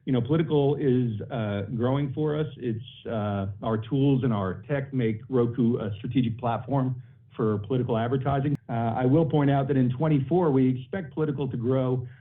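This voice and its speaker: Mid-pitched man on a tinny phone line. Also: normal speed. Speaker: company executive. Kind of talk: conversational answers on an earnings call. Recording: narrow phone-like conference line.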